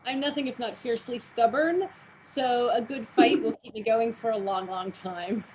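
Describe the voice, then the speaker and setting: Canadian-raised woman, measured and articulate, faint background hiss. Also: fairly high pitch, darkish tone, poor audio. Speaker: theater artistic director. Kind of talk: video-call interview.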